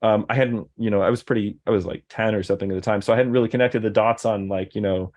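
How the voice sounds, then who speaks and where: A man's voice: on the low side, steady tone, fast talker, darkish tone. Rambling man. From American Deep South. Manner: rambling, many fillers and restarts. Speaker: guest. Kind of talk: casual conversation.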